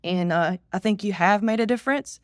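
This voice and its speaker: A woman's voice: mid-range voice, fairly quick, dry recording. A woman with a mid-range voice conducts a casual interview. She speaks sincerely and with appreciation. Her voice sounds close and dry. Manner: earnest, appreciative. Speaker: podcast interviewer.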